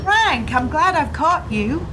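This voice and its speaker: Radio drama: confiding, worried woman from the bank, acted, higher pitch, noticeably rumbly background. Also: sing-song, takes it slowly, exaggerated voice. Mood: anxious, confiding. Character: earnest, anxious female bank worker confiding news. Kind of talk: radio play dialogue. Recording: clear rumbling background noise.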